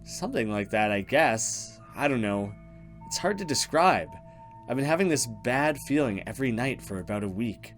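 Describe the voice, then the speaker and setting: A man's voice: mid-range voice, expressive, normal speed, a bit bright, faint music behind, close to an everyday voice. Man reading as an uneasy, timid young woman. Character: anxious, easily scared young woman, grateful friend. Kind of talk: visual novel dialogue read aloud.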